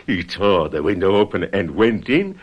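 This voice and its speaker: A man's voice: low-pitched, animated, moderate pace, lo-fi sound. An actor in a radio mystery drama playing a remorseful, pleading man who makes an earnest, self-justifying confession. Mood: earnest recounting.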